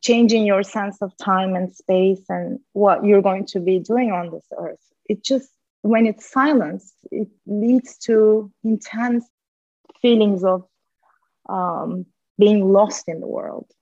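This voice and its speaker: A woman's voice: medium pitch, takes it slowly, a little mellow, no echo, lo-fi sound. A woman, a linguist and podcast guest, speaking earnestly in a candid conversation and repeating words for emphasis. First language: Turkish.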